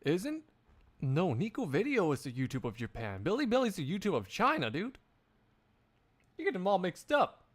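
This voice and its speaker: A man's voice: high voice, huge pitch swings, normal speed. A man chatting casually on a livestream in a rambling way, swearing here and there. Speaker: streamer doing a one-man live fan dub.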